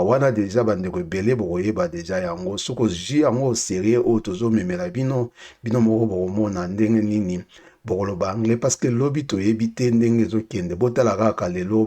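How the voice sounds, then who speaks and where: A man teaching English phrases, saying each one twice, slowly and clearly, for learners to repeat. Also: on the low side, warm tone. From Democratic Republic of the Congo. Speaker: language teacher. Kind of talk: casual spoken language lesson with repeated phrases.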